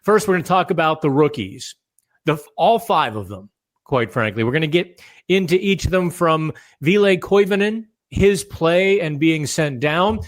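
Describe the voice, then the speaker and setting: Slightly raspy male podcast host, rambling casual delivery, higher-pitched voice. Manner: chatty, rambling with fillers and restarts. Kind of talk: casual solo podcast talk. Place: hotel room.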